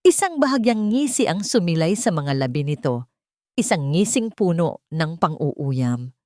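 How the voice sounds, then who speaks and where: Female Filipino storyteller for scripted drama narration; lowish pitch, very animated intonation, close dry sound. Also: dry recording.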